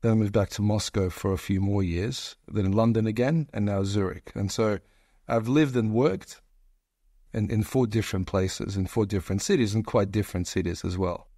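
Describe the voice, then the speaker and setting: A man's voice: on the low side, moderate pace, mellow, no room sound, studio quality. A man in his forties with an Australian accent, chatting as an interview guest, long-winded with many restarts. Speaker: guest, investor. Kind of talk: casual interview chat.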